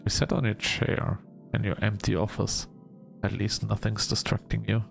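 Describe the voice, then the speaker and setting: Gravelly-voiced man narrating a visual novel. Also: lowish voice, little pitch movement, normal speed, soft background music. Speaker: gamer reading a visual novel aloud for a video. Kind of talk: scripted narration with casual asides.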